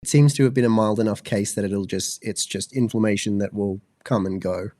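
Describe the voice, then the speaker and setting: A man's voice: on the low side, fairly quick, a little mellow, no room sound, clean studio sound. A man in his twenties with an Australian accent, co-hosting a podcast, sounding congested and fluey, rambling with many fillers. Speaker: podcast co-host. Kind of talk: casual chat.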